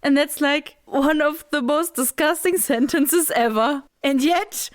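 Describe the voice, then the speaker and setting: A woman's voice: sits high, a little slow. A woman co-hosting a casual book podcast, giggly and self-mocking as she gushes. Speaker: podcast co-host. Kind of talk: casual chat.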